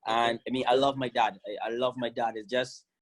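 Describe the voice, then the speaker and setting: A man's voice: medium pitch, level tone, brisk. A man in his thirties from Barbados, a guest on a video-call interview, talking with enthusiasm and laughing often. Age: 30s. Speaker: guest, former fast bowler.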